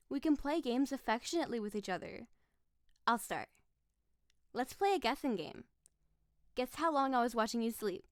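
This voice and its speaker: A woman's voice: sits high, dry recording, studio quality. A woman playing a playful, possessive snow-spirit wife in an audio roleplay, coaxing and doting in a theatrical way. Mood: excited, playful. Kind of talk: scripted audio roleplay addressed to the listener.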